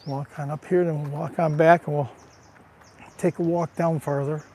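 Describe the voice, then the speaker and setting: A man with a raspy, allergy-roughened voice chatting casually to viewers while walking outdoors, recorded on a handheld phone. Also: fairly high pitch, little pitch movement, moderate pace, darkish tone, slight background noise. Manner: chatty, greets viewers by name. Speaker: livestream vlogger. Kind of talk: casual live walking tour. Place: outdoors on a town street.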